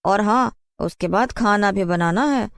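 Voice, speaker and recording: A woman's voice: medium pitch, a little slow, no room sound, pitched below the performer's own voice, close to an everyday voice. A woman voicing a bossy, scheming wife with a haughty, mean manner in a cartoon story. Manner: commanding, mocking.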